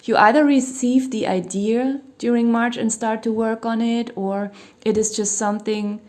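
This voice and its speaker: A woman giving a relaxed tarot reading in a mellow, even tone, her voice a little hoarse from a cold. She wanders through her thoughts with plenty of fillers and soft hedges. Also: on the high side, normal speed. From Germany. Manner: meandering, hedging, many "you know" fillers. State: losing her voice, slightly hoarse. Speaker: tarot reader. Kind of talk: casual tarot reading monologue. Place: home near a hospital, sirens outside.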